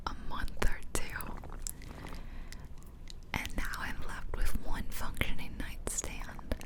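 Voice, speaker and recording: A woman whispering softly and breathily in a chatty, confiding ASMR style, close to the microphone. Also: low-quality recording. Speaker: ASMR video creator. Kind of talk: whispered ASMR casual storytelling. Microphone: close ear-to-ear ASMR microphone.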